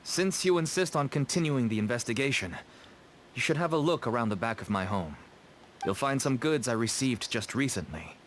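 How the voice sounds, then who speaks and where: A man's voice: mid-range voice, expressive, normal speed, slight background noise, natural voice. An actor playing a weary father turned smuggler in a video game, earnest and resigned. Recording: soft game music behind.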